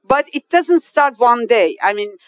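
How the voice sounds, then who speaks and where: A Turkish woman in her fifties with a Turkish accent, a non-native English speaker giving a formal speech to an audience. She speaks haltingly, with many hesitations, restarts and loose grammar. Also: a little high, animated, clean tone, no echo. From Turkey. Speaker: guest speaker at an inauguration.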